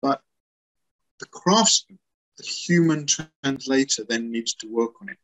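A man in his sixties from England, a guest author on a video call from a small room at home, explaining with lively, emphatic repetition. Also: medium pitch, a little slow, low-quality recording. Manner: animated, gestures as he explains; lively, emphatic with repeated words. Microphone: laptop webcam on a video call.

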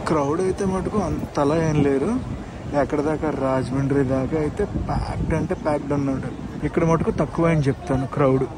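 A man's voice: fairly high pitch, fairly even intonation, fast. A man vlogging a train journey at night, chatting to viewers as stations pass. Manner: chatty, addresses viewers directly. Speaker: travel vlogger. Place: inside a moving train at night. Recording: noisy train carriage recording. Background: train rattle and station noise.

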